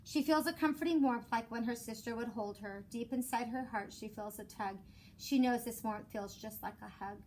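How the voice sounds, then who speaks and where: Female teacher, high-pitched, expressive children's read-aloud, measured delivery, clear background noise. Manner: measured storytelling with rhymed cadence. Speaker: elementary school teacher.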